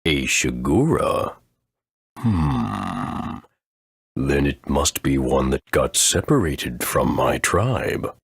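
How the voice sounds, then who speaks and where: A man playing an aged, solemn creature elder in a video game, speaking slowly and formally with a husky rumble. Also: sing-song, no room sound, clean studio sound, full character voice. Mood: gruff, measured. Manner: slow, grave, formal.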